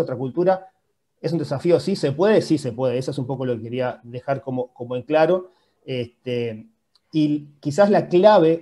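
A man's voice: on the high side, dark tone. A man in his forties with a Uruguayan Spanish accent, giving an informal, explanatory talk on a video call, often adding little checking questions. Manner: explanatory, punctuated with checking tags. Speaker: guest speaker, public-sector IT manager. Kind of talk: informal online panel presentation. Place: indoor room, video call. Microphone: earphone headset on video call.

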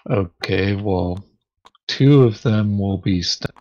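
A man with a slightly low voice talks casually through a board game. He is laconic and low-key, taking his words very slowly. The recording is a bit dull. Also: a little mellow.

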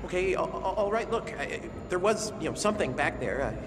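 A man's voice: high-pitched, animated, brisk, noisy background. An actor playing a nervous young man in glasses who stammers and hesitates, in a video game cutscene. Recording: game audio with reverb and music.

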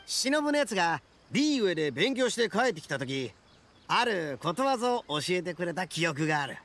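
An actor playing a brash, boastful young male oni gang boss in an anime game, with a cocky, rough swagger. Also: sits high, sing-song, moderate pace, fairly bright tone. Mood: confident.

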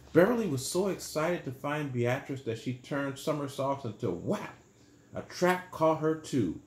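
A man in his sixties reading a children's bedtime story aloud in a small room, pausing to explain things simply and patiently. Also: medium pitch, roomy sound.

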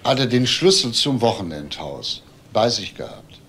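Gravelly, probing police detective. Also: male voice, mid-range voice, sing-song, moderate pace, a bit bright, a little hiss or hum. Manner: probing, clipped questions. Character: shrewd, probing male police detective questioning suspects. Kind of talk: German TV crime drama interrogation.

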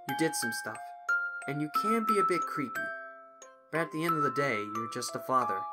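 A man playing a bold, perceptive young male investigator in an animated fan audio drama, probing and theatrical. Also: mid-range voice, natural voice. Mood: gentle, reassuring. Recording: loud music under the voice.

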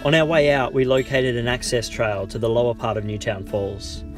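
A man, narrating a bushwalk vlog in a calm, steady voice-over. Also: mid-range voice, moderate pace. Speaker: vlogger narrating a hike. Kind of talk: scripted travel narration. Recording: voice-over with guitar music behind.

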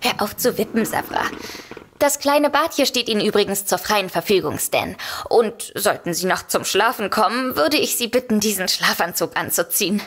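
An actress playing a prim, formal hostess in an audio drama, polite but bossy. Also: female voice, mid-range voice, expressive, moderate pace, fairly bright tone. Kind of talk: German audio drama acting.

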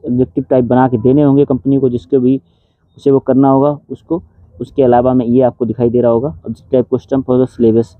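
Male exam-prep host, explanatory and informal, medium-pitched, thin phone-quality audio. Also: no room sound. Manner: explanatory, addresses viewers directly. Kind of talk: informal news update, reading out an official notice. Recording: dull phone-like sound, dry.

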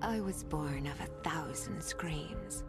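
Sadistic seductive demon lady from a game, breathy whispers, really slow, music behind. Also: sits low. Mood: seductive, cruel. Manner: seductive, sultry, drawn-out. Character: seductive, sadistic female demon who relishes others' torment. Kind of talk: video game character voice lines.

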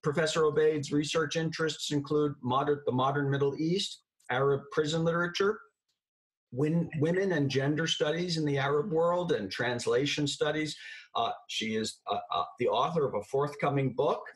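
A man in his sixties conducting a formal interview over a video call, with a halting, hesitant delivery. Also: on the high side, rough recording. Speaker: interviewer, host. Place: indoor room, video call.

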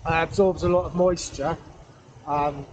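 High-pitched middle-aged man chatting outdoors. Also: steady tone, unhurried, highs cut off. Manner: hesitant, rambling, self-correcting. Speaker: pipe-smoking vlogger. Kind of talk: casual outdoor vlog chat. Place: outdoors in a wooded valley. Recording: outdoor ambient noise, camera at a distance.

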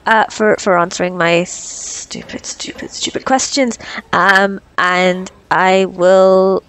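A woman playing an anxious, socially awkward young woman with a crush in an ASMR roleplay, stammering and rambling nervously. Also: fairly high pitch. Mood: flustered, rushed. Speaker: audio roleplay performer. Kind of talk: ASMR girlfriend roleplay acting.

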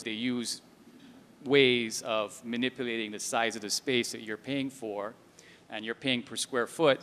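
A man's voice: mid-range voice, a bit bright. A man in his forties with an American accent gives a practical seminar talk into a handheld microphone at a lectern. He talks conversationally, often restarting phrases and filling with "you know." His voice has a long reverb from the large hall, with a faint room hum underneath. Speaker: real estate lawyer giving a talk. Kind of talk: seminar presentation. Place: large indoor event hall with a stage and projector. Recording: reverberant hall, mic heard from a distance.

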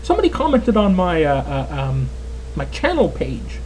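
A man's voice: fairly high pitch, wildly swooping pitch, normal speed, darkish tone, a little hiss or hum, poor audio. A Canadian man in his twenties giving casual, rambling gameplay commentary as a let's player. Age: 20s. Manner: chatty, rambling, lots of fillers.

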